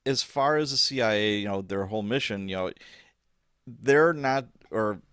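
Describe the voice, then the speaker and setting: A man's voice: on the low side, moderate pace, fairly bright tone, clean tone, dry recording, clean studio sound. A man hosting a podcast, asking questions in a casual, informal and loosely structured way. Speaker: podcast host, interviewer. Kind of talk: casual interview.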